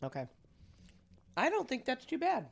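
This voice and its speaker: A woman's voice: high voice, wildly swooping pitch, normal speed, creaky, crowd noise. A woman co-hosting a comedy podcast in casual banter, with a wry, teasing manner.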